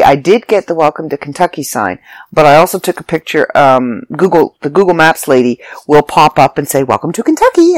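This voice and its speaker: A congested, slightly raspy speaker telling a rambling, chatty travel story to listeners. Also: mid-range voice, no room sound. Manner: chatty, rambling, self-interrupting. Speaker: vlogger. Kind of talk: casual storytelling monologue. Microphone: close mic, audio only.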